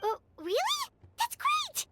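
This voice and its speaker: A woman voicing a small, excitable, childlike fairy companion in a video game, squeaky, chatty and dramatic. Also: unhurried, over loud music, exaggerated voice. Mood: excited. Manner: exclamatory, eager. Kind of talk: animated game voice acting.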